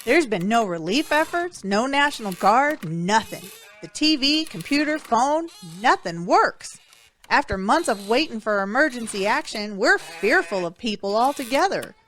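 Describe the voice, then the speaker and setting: A woman's voice: on the high side, sing-song, normal speed, a bit bright, faint music behind. A woman playing a kindly, folksy rural woman who shelters survivors in an audio drama, speaking in a soothing, motherly manner. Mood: grave, fearful.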